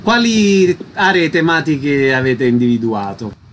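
Higher-voiced male radio interviewer. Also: big pitch swings, measured pace, a bit bright, slight background noise. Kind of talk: arts and culture radio interview.

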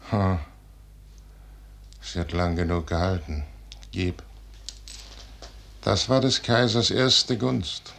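Slow, deep-voiced, proud old general. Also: male voice, gravelly, fairly bright tone, heavily acted. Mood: weary, musing. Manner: measured, grand, dismissive, declaiming. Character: proud, weary, fatalistic aging general and prince. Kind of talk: 1950s German radio drama stage acting. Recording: noisy 1950s radio recording.

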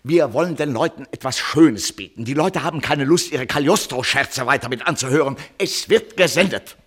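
An actor playing a flustered, authoritarian radio station director in a theatrical radio play, speaking in a commanding, declamatory way. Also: male voice, medium pitch, expressive, normal speed, slightly rough. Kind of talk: theatrical early German radio play acting.

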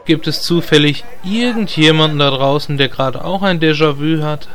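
A man's voice: a little high, normal speed, fairly bright tone. A man playing a sensible, sarcastic adventurer who complains dryly, in a comic radio play. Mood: annoyed. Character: exasperated, sensible, sarcastic male adventurer, party straight man.

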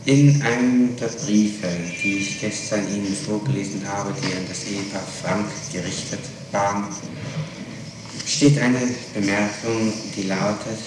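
A man putting formal questions as an interrogator, in methodical, halting phrases, on an old 1960s tape recording. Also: on the low side. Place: echoey room, recorded from a distance. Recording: noisy, echoey 1960s tape, distant microphone.

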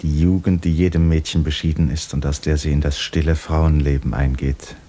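A man narrating a literary radio drama in a grave, measured, restrained manner. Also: rumbling low, little pitch movement, dark tone. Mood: sombre. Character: sombre male literary narrator. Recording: faintly noisy old radio recording.

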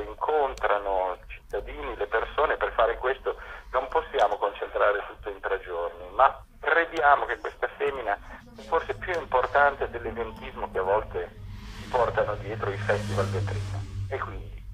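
A man's voice: fairly low pitch, fairly bright tone. A man speaking as a radio guest, explaining a theatre programme in an interview. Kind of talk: spoken interview, presenting a programme. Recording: dull lo-fi phone call, faint noise.

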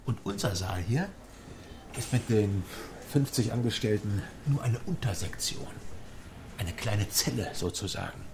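An actor playing a brooding office worker in a dystopian radio drama, musing haltingly in a hushed voice. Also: male voice, lowish voice, expressive. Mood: brooding, bitter. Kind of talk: radio drama inner monologue. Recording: audible background noise and ambience.

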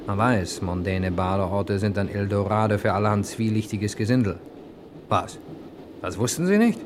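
Man as hard-boiled radio detective hero, low voice, cocky, clipped slangy delivery, first-person narration. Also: normal speed, background noise, close to an everyday voice. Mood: amused, cynical. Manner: clipped, slangy, addresses the listener directly.

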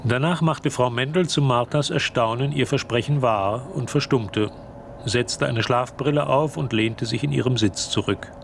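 A man's voice: mid-range voice, moderate pace, vocal fry. A man reading scripted narration as the storyteller of a radio drama. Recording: faint background noise.

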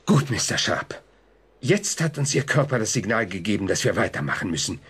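An actor playing a probing, reassuring psychiatrist in a German radio drama, explaining things in a clinical manner with a husky voice. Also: male voice, on the low side, sing-song, a little fast, fairly bright tone, exaggerated voice. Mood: professional, reassuring. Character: conscientious male psychiatrist, probing, reassuring, easily unsettled. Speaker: doctor.

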